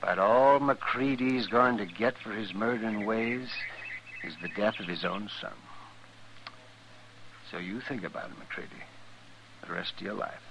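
An actor playing a weary, bitter frontier town doctor in a 1950s radio western, grave and emphatic. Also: male voice, fairly low pitch, unhurried, pauses often. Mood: bitter, accusing. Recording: old radio recording with faint noise.

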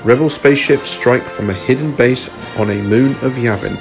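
A man's voice: mid-range voice, normal speed. A man reading scripted narration for a space fantasy story, as its narrator. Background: music under the voice.

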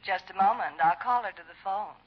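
An actress playing a coy, flirtatious spinster in a 1950s radio comedy style. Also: female voice, medium pitch, brisk, lightly acted. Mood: polite. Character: coy, flirtatious younger spinster sister-in-law. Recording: muffled old radio recording.

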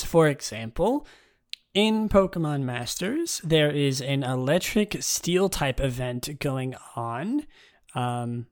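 A young man with a raspy voice hosting his own podcast, talking in a relaxed, rambling way and reading out game news. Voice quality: raspy, low tonight. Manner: relaxed and rambling, many ums and restarts. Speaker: podcast host.

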